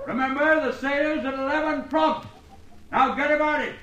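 An actor playing a bossy, barking bailiff in an old radio serial, heard calling from a distance. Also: male voice, very high-pitched, steady tone, normal speed, fairly bright tone. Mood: commanding. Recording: distant, echoey, muffled old radio recording.

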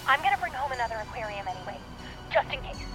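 Deadpan, higher-voiced offbeat sidekick. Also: female voice, quick pace, over music. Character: flat-earther sidekick, blunt, literal-minded and offbeat. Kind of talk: audio drama acting, casual dialogue. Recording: slightly dull, muffled sound.

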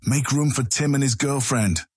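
An actor playing a gallant, commanding guard in armor in a fantasy audiobook. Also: male voice, mid-range voice, normal speed, slightly rough, dry recording, studio quality, close to an everyday voice. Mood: brisk command. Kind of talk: full-cast audiobook acting.

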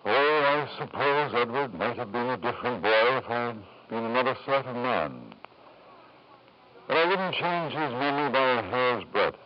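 A man's voice: medium pitch, normal speed, lightly acted. An actor playing a middle-aged titled father, proud and self-justifying, with an urbane, insistent manner in a 1950s radio drama. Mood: defensive. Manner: urbane, insistent, repeats questions for emphasis. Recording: dull vintage radio recording.

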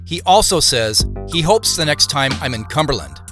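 A man's voice: fairly high pitch, very animated, moderate pace. An actor playing a first-person narrator in an audio drama, an American man recounting events in plain, orderly sentences. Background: music.

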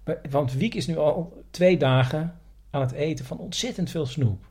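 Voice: mid-range voice, moderate pace, dark tone, breathy edge. A podcast host, chatting casually on location.

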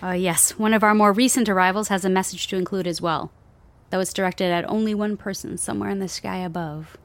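A woman playing an earnest, measured community chaplain sending a radio message from a crumbling world in an audio drama. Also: medium pitch, steady tone, close to an everyday voice. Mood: earnest, hopeful.

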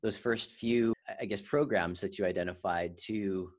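A man hosting a podcast, asking questions in a casual, halting way. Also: on the low side. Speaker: podcast host, interviewer.

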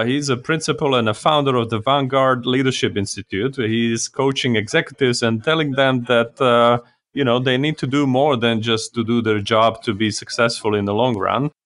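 Podcast host guy, average pitch, chatty questions with a few uhs. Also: fairly bright tone. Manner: scripted intro, then chatty questions with fillers. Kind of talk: podcast intro and interviewing.

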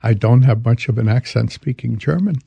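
An elderly man in his eighties from Hungary reminisces as an interview guest. His voice is creaky and raspy, with a halting, hesitant delivery. The sound is slightly dull and dry. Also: mid-range voice, dark tone, no echo. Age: 80s. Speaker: interview guest, veteran commodities exchange trader.

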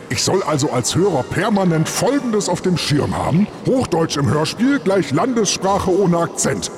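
Sarcastic male host-judge, gravelly edge, animated pitch, music running underneath. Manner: sarcastic, withering, ranting. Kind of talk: satirical comedy sketch.

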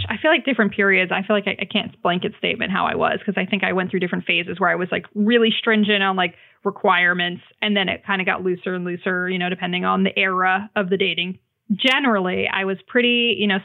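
A woman's voice: medium pitch, fast talker, creaky. Woman chatting over a phone-like line. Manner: animated storyteller, acts out quoted lines. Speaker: podcast guest. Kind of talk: casual chat, personal anecdotes. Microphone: remote call. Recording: phone-like remote call audio.

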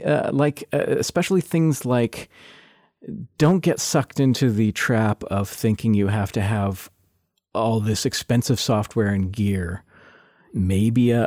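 A man giving casual, relaxed advice in an interview, hesitating with fillers. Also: fairly low pitch, dry recording, studio quality.

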